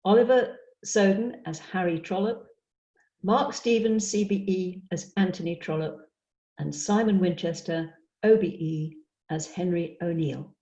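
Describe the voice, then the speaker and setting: A woman's voice: lowish voice, a little slow, dark tone, reverberant, lo-fi sound. A woman reading a scripted cast announcement for a play.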